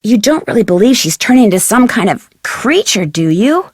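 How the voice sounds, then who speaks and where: An earnest young sleuth in a mystery game. She is polite but persistent, with a slightly high voice and a close, dry studio sound. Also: big pitch swings, normal speed, husky, no room sound. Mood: concerned, doubtful. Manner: earnest, polite, casual questioning. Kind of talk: scripted video game voice acting.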